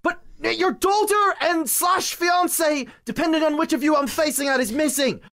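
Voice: mid-range voice, animated, moderate pace, lightly acted. A performer playing an earnest, clueless young hero in an improvised comic film dub, awkward and blurting. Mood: urgent. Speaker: improv fandub voice actor.

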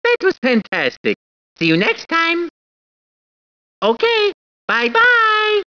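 A man's voice: very high voice, huge pitch swings, takes it slowly, dry recording, full character voice. A man voicing a cheerful, clowning cartoon plumber in a video game, with a put-on Italian accent, squeals and laughs. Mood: playful. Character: cheerful plumber man, jokey, eager and bouncy. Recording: dull, dry game audio.

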